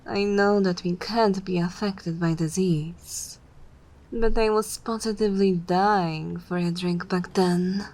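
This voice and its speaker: A woman playing a haughty, regal older vampire mistress in an ASMR roleplay, speaking in an imperious, measured way. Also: lowish voice, steady tone, a little mellow, rough recording. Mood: weary, complaining. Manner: imperious, measured, breathy near-whispers.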